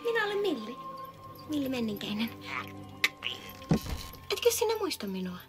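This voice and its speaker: A woman playing a friendly, gentle elf in a children's fantasy film, speaking in an earnest, coaxing way. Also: sits high, very animated, over music.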